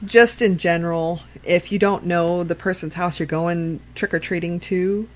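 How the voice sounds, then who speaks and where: Low-voiced woman giving earnest advice. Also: steady tone, normal speed, rough recording. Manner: earnest, explaining safety tips at length. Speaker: podcast co-host. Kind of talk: casual chat.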